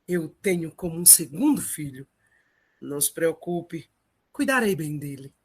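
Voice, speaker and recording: A woman playing an adult male Trojan warrior leader, a steadfast father, in an audio drama with a husky voice. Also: sits low, lively intonation, measured pace, heavily acted. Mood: earnest, reassuring.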